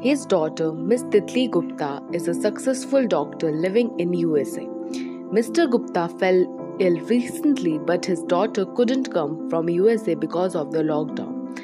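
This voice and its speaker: A woman's voice: fairly low pitch, expressive, moderate pace. A woman reading scripted story narration. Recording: loud music under narration.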